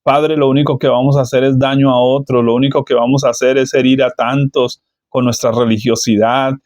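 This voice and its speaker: A man leading a spoken prayer, slow and measured, calling on the Lord between nearly every phrase. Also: medium pitch, flat delivery, darkish tone, no echo, studio quality. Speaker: praying minister.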